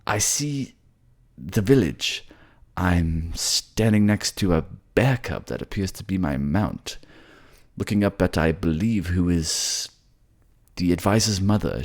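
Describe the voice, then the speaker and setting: A man's voice: deep voice, lively intonation, exaggerated voice. A man playing an anxious, eloquent male mage narrating visions in a theatrical fantasy audio drama. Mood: wistful, curious. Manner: theatrical, halting as visions unfold.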